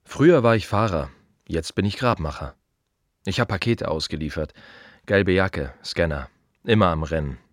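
An actor playing a plain-spoken, reflective gravedigger in a radio play monologue, talking to the listener in short, repetitive, wry phrases. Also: male voice, sits low, no echo, clean studio sound. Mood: matter-of-fact, wry.

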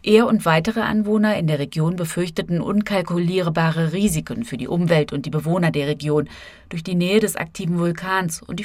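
A woman's voice: fairly low pitch, no room sound. A woman reading a scripted radio feature as a correspondent, narrating and voicing translations of quotes.

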